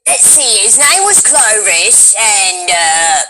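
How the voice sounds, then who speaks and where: A woman's voice: medium pitch, expressive, takes it slowly, noisy background. A woman speaking as a guest voice actor in a casual chat, thoughtful, with frequent laughs and fillers.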